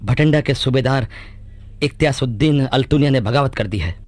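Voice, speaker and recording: A man's voice: medium pitch, moderate pace, darkish tone, no echo, lightly acted. A man acting a humble, loyal courtier-soldier in a radio play, formal and deferential. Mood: deferential, concerned. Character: humble, loyal male courtier-soldier bearing grave news.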